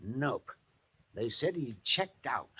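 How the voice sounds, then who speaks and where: A man's voice: medium pitch, sing-song, slow, over loud music. An actor playing an older male householder in a 1950s radio drama, matter-of-fact in manner.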